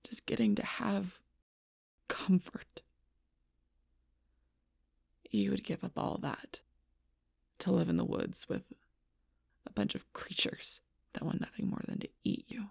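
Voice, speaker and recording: A woman playing a gruff, stubborn, protective female alpha werewolf in an intense audio roleplay, blunt and often hushed, with a husky voice. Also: mid-range voice, animated, takes it slowly, pauses often, no room sound, full character voice. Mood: hushed, grim. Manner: intense, blunt, swearing, often dropping to whispers.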